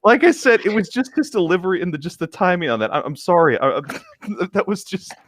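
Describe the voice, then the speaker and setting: A man co-hosting a story reading, switching between reading aloud and jokey, excitable banter. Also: high-pitched, brisk.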